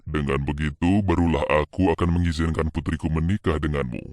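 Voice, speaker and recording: A man acting a deep, demanding, proud sultan. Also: steady tone, a little fast, a little mellow, no echo, clean studio sound. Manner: stately, commanding.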